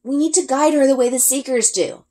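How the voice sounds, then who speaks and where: A woman's voice: high-pitched, fairly quick, dark tone, voice pushed up, lightly acted. A woman voicing a worried young boy in a storybook, calling out urgently in a raised voice. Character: worried young boy shouting over wind.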